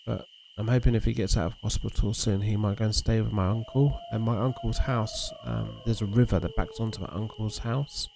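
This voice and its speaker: A man with a gravelly, low voice chats easily to his viewers while streaming a fishing game. His tone is mellow and rambling, and a faint outdoor hum sits under his voice. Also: brisk, hardly any pauses, rough recording. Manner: relaxed, rambling, talks to chat and reads messages aloud. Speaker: livestream host.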